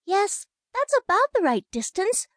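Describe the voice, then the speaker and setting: Young girl, storybook character, very high pitch, lively intonation, prim, chatty, musing to herself. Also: measured pace, no room sound, clean studio sound, heavily acted. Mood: wondering. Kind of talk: audiobook character acting.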